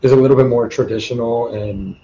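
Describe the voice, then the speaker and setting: A man working as an online English tutor, talking in a relaxed, friendly, chatty way with plenty of "um" and "you know" over a video call. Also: mid-range voice, brisk, dull audio, slight background noise. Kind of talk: casual conversation.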